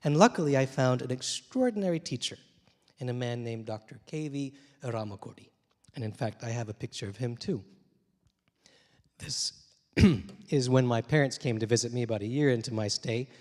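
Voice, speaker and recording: A man in his forties, a little hoarse, telling a personal story on a theater stage into a handheld mic, reflective and gently humorous with many small pauses and fillers. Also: mid-range voice. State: slightly hoarse from many interviews. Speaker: translator and poet. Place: theater stage, dark room. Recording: long reverb of a theater hall.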